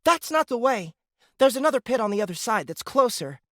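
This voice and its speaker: A woman's voice: fairly high pitch, very animated, a little fast, no room sound, studio quality. A woman playing a bold, blunt teenage girl recruit in a science fiction audiobook. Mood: urgent, blunt.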